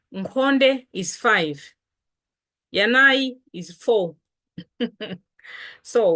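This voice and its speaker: Slow, unhurried woman from Malawi teaching. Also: a little high, a bit bright. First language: Chitumbuka. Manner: unhurried, patient, repeats and spells out words. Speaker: language teacher and channel host. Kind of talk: casual language lesson to camera.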